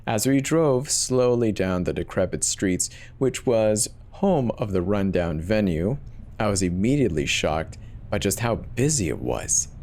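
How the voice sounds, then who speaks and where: A man's voice: lowish voice, very animated, unhurried, darkish tone. A man narrating a first-person horror story in a dramatic scripted reading, with a weary edge. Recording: clear noise bed behind the voice.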